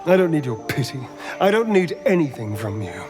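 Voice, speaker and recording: A man playing a proud, bitter vampire spawn in a video game, speaking in a theatrical, sharp and haughty way. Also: on the high side, big pitch swings, fairly quick. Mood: bitter, defensive. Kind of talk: video game dramatic acting. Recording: music behind the voice.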